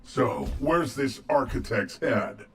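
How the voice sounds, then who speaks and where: A man playing a gruff, cocksure rebel agent in a video game, terse and wry. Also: medium pitch, animated, takes it slowly, exaggerated voice. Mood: wry, confident. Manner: wry, terse, self-assured. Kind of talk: video game cutscene acting.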